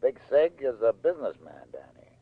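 An actor playing a sleepy, guarded nightclub owner in an old radio crime drama, slow and understated. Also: male voice, medium pitch, very animated, breathy edge, no echo. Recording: tinny vintage radio broadcast.

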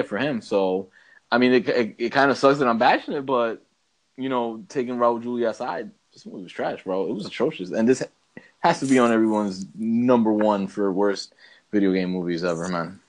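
A man's voice: fairly low pitch, fairly quick, poor audio. A man co-hosting a podcast, chatting casually in a slangy, blunt way and thinking aloud as he goes. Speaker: podcast co-host. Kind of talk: casual banter, ranting movie review.

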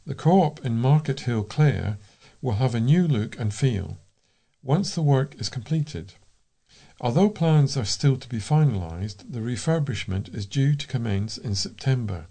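A man's voice: mid-range voice, expressive, measured pace, warm tone, slightly rough. A man reading scripted local news as a volunteer news reader.

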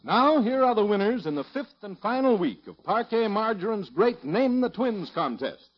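Male American commercial announcer, high voice, animated, emphatic, muffled 1950s radio sound. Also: normal speed, loud music behind the voice. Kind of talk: 1950s scripted radio advertising.

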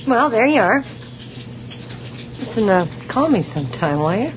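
A hopeful, flirty waitress in a radio play. Her high voice swoops freely and she takes her time. The vintage recording is muffled and noisy. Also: big pitch swings, normal speed. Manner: hesitant, casual, hopeful. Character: wistful, flirtatious late-night coffee counter waitress. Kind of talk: old-time radio drama acting.

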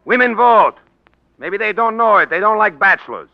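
A gruff crooked political boss in an old radio play. His high voice swings about with blunt, impatient street talk. The sound is dull and dry, like a vintage broadcast. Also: animated, normal speed, no echo. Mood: exasperated. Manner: blunt, exasperated, rough ungrammatical street talk. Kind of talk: 1940s radio drama acting.

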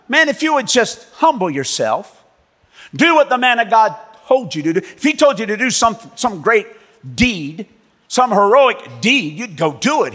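A man preaching a sermon as pastor and missionary, telling stories with animated delivery and acting out the people in them. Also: extremely high pitch. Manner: animated storytelling preacher, acts out voices in quotes. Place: church. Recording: long reverb of a church hall.

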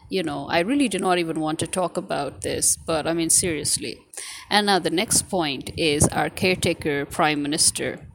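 A woman with a fairly low voice delivers unscripted political opinion. She sounds indignant and scornful, leaning hard on key words and posing pointed rhetorical questions. The recording carries slight background noise. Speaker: political commentator.

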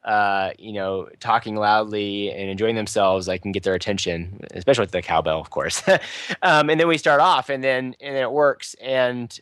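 A man with a fairly loud, somewhat low voice talks casually about running his local user group. His delivery is loose and chatty, full of fillers and restarts. The sound is dry and close, with no room around it. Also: fairly even intonation, normal speed, no room sound. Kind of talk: casual podcast panel talk.

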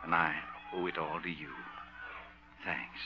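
An actor playing a humble, tender-hearted man who plays Santa, speaking in a halting, heartfelt way in 1950s radio style. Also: low voice, flat delivery, dull audio. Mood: moved, grateful. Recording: vintage 1950 radio broadcast.

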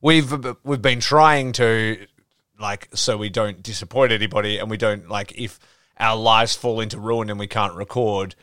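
A man's voice: lowish voice, steady tone, normal speed, pushed and bright, clean tone, dry recording, clean studio sound. A man hosting a podcast, teasing his co-host in casual banter over a video call. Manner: joking, teasing, swears casually.

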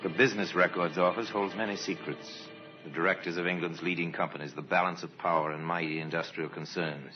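An actor playing a grave narrator of a radio crime drama, telling the story in a deliberate, portentous way. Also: male voice, sits low, steady tone, music in the background, natural voice. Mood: grave, measured. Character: grave, measured male narrator of true crime tales. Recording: muffled, noisy old radio recording.

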